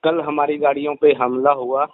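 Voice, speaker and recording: A man's voice: on the high side, little pitch movement, normal speed, no room sound. A man, giving emphatic explanations as an expert in a phone interview, often repeating his phrases. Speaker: expert investigator of superstition cases, interviewee. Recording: tinny phone line.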